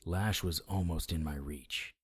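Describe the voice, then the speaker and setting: An actor playing a cocky, sarcastic hardboiled supernatural detective, narrating and trading defiant lines in a noir audio drama. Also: male voice, low voice, a little slow, breathy edge. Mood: wry narration.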